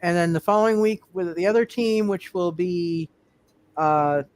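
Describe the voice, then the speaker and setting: High-voiced man hosting, halting delivery. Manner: halting, repetitive, circling back. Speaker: game master and host. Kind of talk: casual sign-off talk on live roleplay show.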